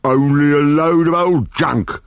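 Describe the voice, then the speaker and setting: Brief: man voicing a giant gentle glutton, drawn-out grumbling calls, a bit raised. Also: on the high side, dry recording, lo-fi sound, voice pushed up. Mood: disappointed. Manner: loud, plaintive, disappointed. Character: huge, hungry, simple-hearted Gaulish man.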